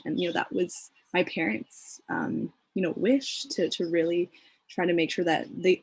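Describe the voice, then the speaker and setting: A young woman speaks on a panel from a video call, thoughtful and earnest, pausing often on fillers. Her voice is husky with a gravelly rasp and sits a little low. The sound is dry, with no room echo. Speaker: panelist, community advocate.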